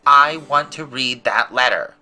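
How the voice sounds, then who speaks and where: A man voicing a loud, demanding, spoiled young boy who whines, in an audiobook drama. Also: mid-range voice, a little slow, faint music behind, voice pushed up. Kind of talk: audiobook drama character acting.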